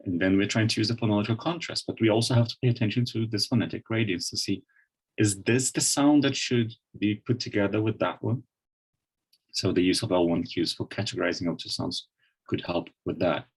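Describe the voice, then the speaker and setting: A Brazilian man speaks with a Brazilian Portuguese accent in a low, husky voice. He gives an online lecture in a thoughtful way, with many restarts and fillers, into a computer microphone. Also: a little fast, low-quality recording. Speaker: webinar presenter.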